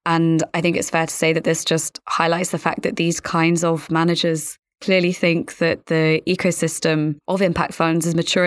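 A woman speaking as a podcast host, measured and articulate, in a presenting and interviewing style. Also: low voice, no room sound, studio quality. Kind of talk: scripted podcast narration and interviewing.

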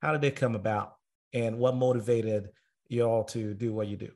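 A man's voice: lowish voice, steady tone, normal speed, a little mellow. A middle-aged man from Tanzania hosting a podcast interview, talking earnestly with frequent filler sounds. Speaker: podcast host.

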